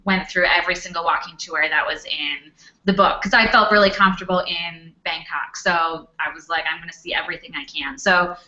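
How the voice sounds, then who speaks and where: A woman's voice: lowish voice, steady tone, fairly quick, pushed and bright. A young woman speaking casually on a Q&A panel, chatty with lots of fillers. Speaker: panelist, former English teacher. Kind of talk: Q&A panel talk. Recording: dull lo-fi recording.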